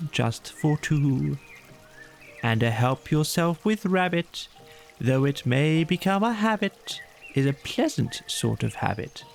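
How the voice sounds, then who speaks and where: A man voicing a gentle, slow-witted male teddy bear who muses aloud and sings little songs. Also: a little high, creaky, full character voice. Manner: dreamy, musing, sing-song.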